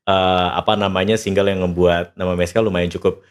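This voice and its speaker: A man's voice: sits low, flat delivery, fairly quick, clean tone. A man hosting a casual chat, slipping English phrases into slangy questions and interjecting agreement often. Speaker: podcast host, interviewer.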